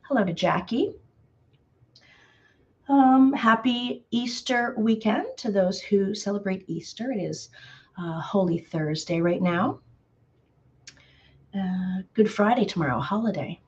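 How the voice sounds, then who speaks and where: A slightly hoarse woman hosting a live painting tutorial, chatty and greeting viewers by name with lots of ums. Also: medium pitch, slow, long pauses, a little mellow, lo-fi sound. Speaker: painting instructor.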